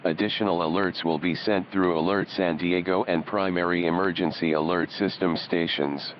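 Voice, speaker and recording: A man reading a scripted emergency message as an official announcer, formal and measured. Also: sits low, steady tone. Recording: dull, noisy emergency radio broadcast.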